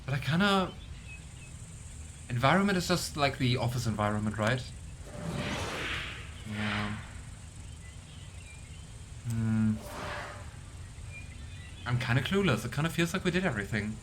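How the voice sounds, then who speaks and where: A young man casually commenting on a game he plays, musing aloud and unsure, often trailing into low mumbles. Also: animated, a little slow, pauses often, a bit bright, a little hiss or hum. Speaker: let's play host.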